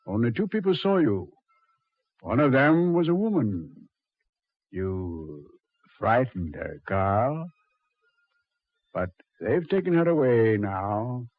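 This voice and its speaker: An actor playing a suave, mocking Devil in a measured, mock-polite 1940s radio horror style, on a muffled old recording. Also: male voice, medium pitch, very animated, no echo. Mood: sardonic. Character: the Devil, suave, mocking, coldly taunting male master of hell.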